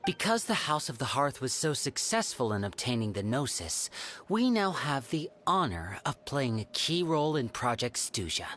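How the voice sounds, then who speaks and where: Game role: serious young elder brother, mid-range voice, measured and protective, faint ambient noise. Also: male voice, medium pitch, sing-song, fairly bright tone. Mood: explaining. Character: composed young brother, protective leader of his siblings. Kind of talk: video game dialogue.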